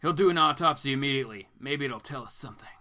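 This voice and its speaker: A man voicing a resolute male crime-fighting detective hero from a comic book, brisk and determined. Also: medium pitch, bright, forceful tone.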